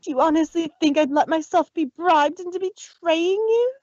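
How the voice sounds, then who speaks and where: A woman's voice: very high-pitched, normal speed, a little mellow, no echo, highs cut off. A woman voicing a dramatic young fashion unicorn mare, stammering and pleading, in a fan reading.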